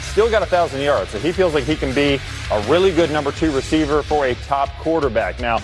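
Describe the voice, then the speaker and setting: A man reports as a football insider in a conversational broadcast style. His voice sits a little high and moves very quickly. Faint music plays underneath him. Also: animated, poor audio.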